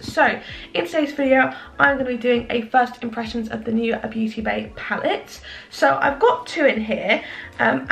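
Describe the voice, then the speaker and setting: Croaky chatty young woman. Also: on the high side, a bit bright. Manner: chatty, self-deprecating, rambling. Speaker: beauty vlogger. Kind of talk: casual makeup review chat. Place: indoors at home in the evening.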